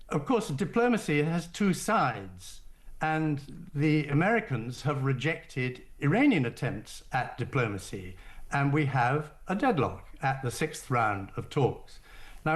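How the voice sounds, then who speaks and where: An older man speaking as a radio interview guest, setting out his points in a formal, deliberate way. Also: mid-range voice. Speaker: guest, former diplomat.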